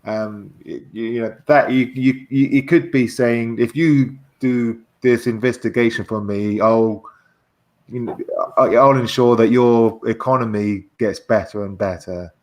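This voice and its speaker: A man's voice: medium pitch, fairly even intonation, a little mellow. Hesitant man in casual discussion. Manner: halting, repeats words while searching for phrasing. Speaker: guest discussing a political transcript. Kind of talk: casual conversational political discussion.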